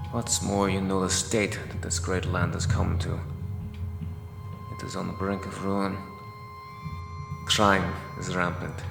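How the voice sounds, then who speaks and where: An actor playing a stern, authoritative military major giving oratory in a dark fantasy audio drama. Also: male voice, low voice, gravelly, reverberant, big-room sound, heavily acted. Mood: commanding. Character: commanding, stern military major plotting a coup.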